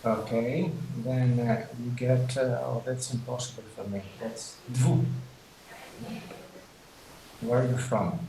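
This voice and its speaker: A man's voice: mid-range voice, steady tone, slow pace, creaky, dark tone. A man leading an online class, talking unhurriedly with many fillers. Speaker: lecturer. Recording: echoey video call with hiss.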